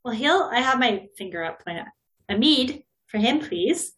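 Female tabletop player, higher-pitched, very lively intonation, casual and playful. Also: moderate pace. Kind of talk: casual playful roleplay chat.

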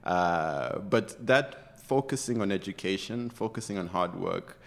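A middle-aged executive from Uganda talks in an interview with real conviction. His voice sits on the low side, and he circles back and restarts his sentences as he builds a point. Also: expressive, unhurried. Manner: earnest, passionate, with many restarts. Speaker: interview guest, tech executive. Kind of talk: conversational interview.

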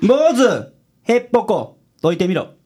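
A woman's voice: medium pitch, sing-song, measured pace, mellow, slightly rough. A woman playing a blunt, self-assured male detective with a Kansai accent in a radio drama. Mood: cocky. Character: gruff, cocky, unflappable male private detective.

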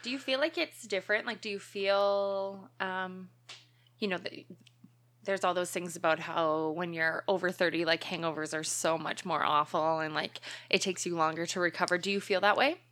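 A woman in her thirties co-hosting a podcast, chatty and quick to laugh. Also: on the low side, steady tone, fairly bright tone. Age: 30s. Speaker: podcast co-host. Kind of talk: casual podcast chat.

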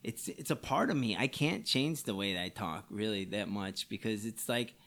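A man with a Chicago accent, high and chatting casually as a podcast guest, swearing and filling with "you know.". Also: animated, normal speed, a bit bright. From Chicago area, USA. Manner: laid-back, swears a lot, many "you know" fillers. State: stoned.

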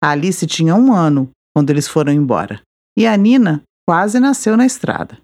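A Brazilian woman hosting a podcast, reading a measured, reflective scripted narration. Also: sits low, big pitch swings, a little mellow. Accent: Brazilian Portuguese. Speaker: podcast host.

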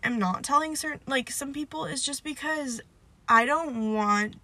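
A young woman chats on her own podcast, nasal and stuffed up from a cold. Her voice is bright and a little sharp, recorded close and dry. She rambles loosely, leaning on "like" and "um" and poking fun at herself. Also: high-pitched, normal speed, no echo. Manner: rambling, filler-heavy, self-deprecating. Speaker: podcast host. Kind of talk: casual solo podcast chat.

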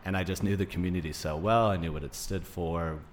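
A man in his thirties speaks in a low voice as a podcast guest. He tells his story in an informal, rambling way, with light noise behind him. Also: steady tone, fairly quick. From Greece, Denmark and Arizona. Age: 30s. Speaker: podcast guest, naturopathic doctor. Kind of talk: casual conversational interview.

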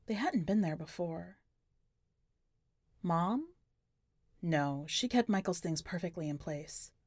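A woman's voice: lowish voice, a little mellow, dry recording, clean studio sound. A woman reading a short story aloud as scripted, dramatic narration.

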